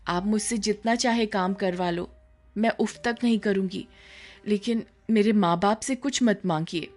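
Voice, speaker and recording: A woman's voice: mid-range voice, measured pace, a little mellow, natural voice. A woman playing a young, submissive village daughter-in-law in a cartoon story, speaking politely and pleadingly. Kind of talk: Hindi animated horror story acting.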